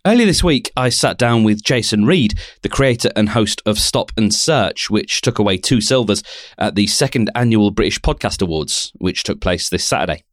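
Host: man with a lowish voice, dry close mic, deadpan self-mocking patter. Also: moderate pace, no echo. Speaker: podcast host. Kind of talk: scripted intro and interview questions.

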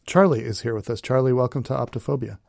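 A man hosting a comedy podcast, his mid-pitched voice with a gravelly edge. He leads the interview in a steady way. The sound is dry and close. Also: fast talker, no echo. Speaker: podcast host.

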